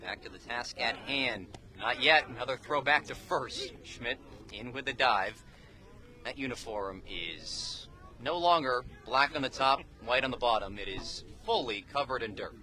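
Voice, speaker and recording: A man's voice: medium pitch, animated, measured pace, pushed and bright. A man calling live baseball play-by-play for radio in clipped, telegraphic phrases. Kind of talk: live sports commentary. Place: outdoors at a baseball game.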